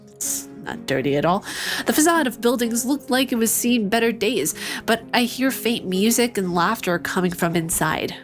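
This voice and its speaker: A woman's voice: on the high side, animated, moderate pace. A woman hosting a let's play, reading visual novel narration aloud and breaking off into playful, joking asides. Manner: playful, joking asides between narrated passages, frequent laughs. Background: game music.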